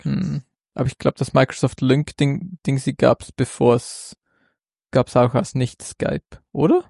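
A man's voice: mid-range voice, steady tone, unhurried, gravelly, warm tone, no echo, studio quality. A man co-hosting a podcast, thinking aloud with hesitant restarts in a casual tech chat. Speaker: podcast co-host.

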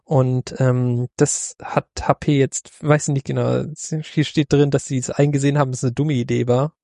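Gravelly, dry-voiced man in casual chat. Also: medium pitch, level tone, normal speed, mellow, dry recording. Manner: laid-back, joking, laughs often. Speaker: podcast co-host. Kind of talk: casual tech news chat.

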